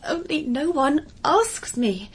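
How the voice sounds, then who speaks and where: A woman's voice: on the high side, expressive, moderate pace, slightly rough. An actress playing an earnest, naive Victorian girl in an audio drama, with a pleading, emphatic way of talking. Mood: pleading, determined. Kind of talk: period audio drama acting.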